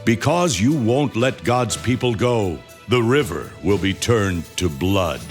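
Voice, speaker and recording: A man's voice: fairly low pitch, expressive, slow. A man playing Moses, a resolute, commanding prophet in a dramatized children's Bible story. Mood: stern warning. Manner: commanding, firm. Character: Moses, stern, resolute male prophet confronting a king. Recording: loud music behind the voice. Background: music.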